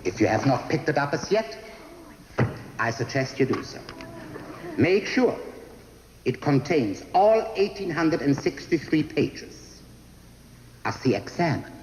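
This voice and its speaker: An actor playing a curt, pompous college professor opening a lecture. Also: male voice, mid-range voice, sing-song, measured pace, pauses often, background noise. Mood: stern. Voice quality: curt, sarcastic. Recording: echoey lecture hall.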